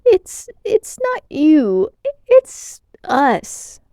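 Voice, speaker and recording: A woman's voice: high-pitched, huge pitch swings, extremely slow, mellow, dry recording, studio quality, voice pushed up, exaggerated voice. A woman voicing a fretful, apologetic male alien ambassador in a children's storybook. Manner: fretful, fussy, trembling.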